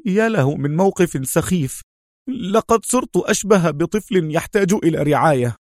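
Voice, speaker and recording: An injured, self-doubting male comrade, played by a male narrator. The voice is raised well up in pitch, strained and deferential, in the style of a dramatic audio story. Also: big pitch swings, fairly quick, dry recording, clean studio sound. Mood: tense. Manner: strained, protesting.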